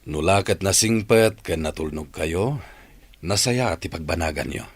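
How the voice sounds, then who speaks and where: A man playing a kindly, generous older boss with a husky voice in a radio drama. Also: low voice, a little slow, no room sound, heavily acted. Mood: fatherly.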